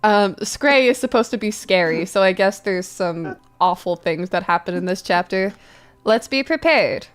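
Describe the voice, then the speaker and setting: A woman's voice: mid-range voice, lively intonation, moderate pace, clear, resonant tone. A woman hosting a mock-dramatic reading of fanfiction, playful and theatrical, with casual asides. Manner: playful, theatrical, spells out misspellings. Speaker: host reading fanfiction aloud.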